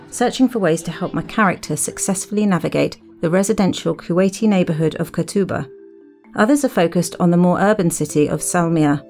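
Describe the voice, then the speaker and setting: A woman presents a podcast in scripted narration. Her voice sits fairly low and has a clear creak to it, with faint music behind her. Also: a little mellow. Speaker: podcast host.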